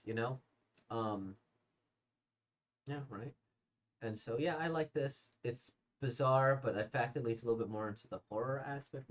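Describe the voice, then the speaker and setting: A hoarse, slightly congested man casually reacting to manga he has read, rambling with many fillers and restarts. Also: mid-range voice. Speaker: manga reader and reviewer. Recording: dull lo-fi sound.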